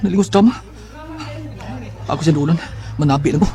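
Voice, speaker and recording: Actor as nagging young Bisaya boyfriend. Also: male voice, on the high side, fairly quick, warm tone, close to an everyday voice. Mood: casual, teasing. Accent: Cebuano Bisaya, Philippines. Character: young man quarreling with his girlfriend, nagging, jealous. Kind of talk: Bisaya radio drama acting.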